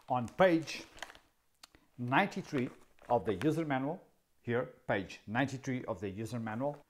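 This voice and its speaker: A man in his fifties with a Portuguese accent, a native Portuguese speaker, explaining camera gear in a dry, sarcastic way, seated in a dark studio. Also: mid-range voice, slight background noise. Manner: wry, sarcastic, asks himself questions. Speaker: camera video host. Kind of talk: casual tech explainer.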